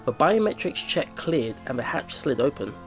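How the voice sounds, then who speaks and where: Animated man reading a game aloud. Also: mid-range voice, normal speed. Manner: informal, reacts with exclamations. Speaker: let's play host reading and reacting to a visual novel. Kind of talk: reading game narration aloud with casual commentary. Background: game music.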